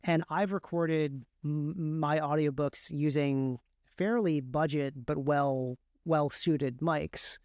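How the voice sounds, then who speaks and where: Higher-pitched, clear-voiced man explaining. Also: steady tone, slow pace, darkish tone, no echo, studio quality. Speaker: guest, indie author and audiobook narrator. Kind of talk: explanatory interview talk.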